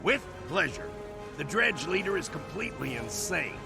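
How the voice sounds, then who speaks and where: An actor, a man, playing a battered, exasperated prisoner in a video game, blunt and excitable. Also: a little high, normal speed, gravelly, over music, heavily acted. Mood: exasperated, agitated. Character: battered, exasperated male prisoner, freshly rescued soldier.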